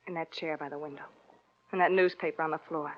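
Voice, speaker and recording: An actress playing a grieving, quietly resigned woman in old radio crime drama style, with a subdued, halting delivery. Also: sits low, fairly even intonation. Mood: numb, grieving. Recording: dull, noisy old radio recording.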